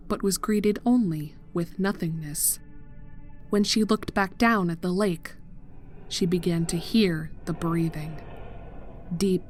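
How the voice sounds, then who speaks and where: A woman narrating a scripted horror story in a measured, dramatic manner. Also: fairly low pitch, a little hiss or hum.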